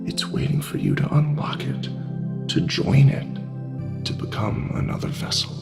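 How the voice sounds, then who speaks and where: A man playing a sinister talking pig in an audio drama, seductive and manipulative, with breathy persuasion that slips into whispers. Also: mid-range voice, wildly swooping pitch, full character voice. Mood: seductive, menacing. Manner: slow, breathy persuasive delivery slipping into whispers. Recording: music under the voice.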